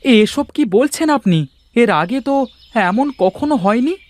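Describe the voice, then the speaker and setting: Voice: medium pitch, expressive, measured pace, darkish tone, lightly acted. A performer voicing a good-hearted, humble village boy in a cartoon, eager and prone to exclaiming. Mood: startled, protesting. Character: good-hearted, humble poor village boy who loves simple food.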